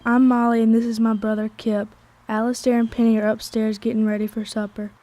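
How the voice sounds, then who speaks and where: A teenage girl plays a young servant in a school radio play. She is polite and dutiful, with a high but mellow voice that stays quite even. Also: brisk, close to an everyday voice.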